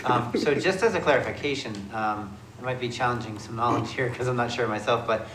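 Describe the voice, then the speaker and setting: A man chairing a city committee meeting, with a gravelly voice and an informal, thinking-aloud way of asking questions. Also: mid-range voice, a little fast, fairly bright tone, roomy sound, slight background noise.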